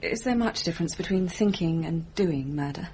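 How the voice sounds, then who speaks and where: A weary young woman, played by an actress, speaks in a lowish, subdued voice. She sounds fragile and inward-looking, as in a radio mystery drama, with light noise on the recording. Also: animated, moderate pace, darkish tone, lightly acted. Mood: haunted, reflective. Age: young adult. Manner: subdued, introspective.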